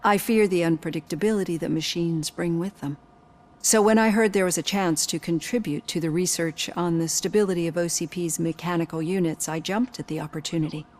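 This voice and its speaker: A woman playing a composed, probing psychologist in a video game, speaking in a measured, articulate, clinical way. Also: on the low side, a little mellow, natural voice. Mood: confiding, measured. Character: composed, probing female psychologist with prosthetic hand.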